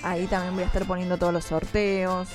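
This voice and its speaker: Argentine woman, upbeat radio host, chats straight to listeners, music playing clearly behind her. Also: on the low side, flat delivery, fast. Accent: Argentine, mixed Mendoza and Córdoba. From Mendoza, Argentina. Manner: chatty, upbeat, addresses listeners directly. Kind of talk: morning radio show chat.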